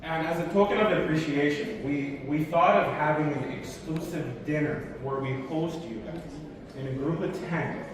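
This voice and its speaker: A man, a financial advisor, making a relaxed sales pitch to a conference audience, with some fillers and restarts. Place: large conference hall. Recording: echoey hall, distant mic, crowd noise. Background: crowd chatter.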